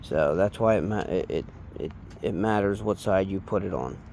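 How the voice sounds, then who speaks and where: A man giving a casual, unscripted hands-on tutorial, speaking haltingly and trailing off as he works with his hands. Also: sits low, vocal fry, a little mellow, slight background noise. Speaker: DIY installation demonstrator.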